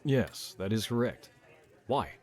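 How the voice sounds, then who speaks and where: A man playing a calm, fatherly bartender and former royal guard in a fantasy audio drama, measured and easygoing. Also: on the low side. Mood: steady, serious. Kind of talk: amateur fantasy audio roleplay acting.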